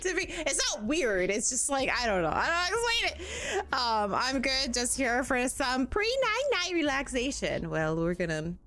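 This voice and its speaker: A woman's voice: high-pitched, very animated, normal speed, a bit bright. A woman streaming a game, chatting casually with her viewers in a bubbly, giggly, self-deprecating way, sounding tired.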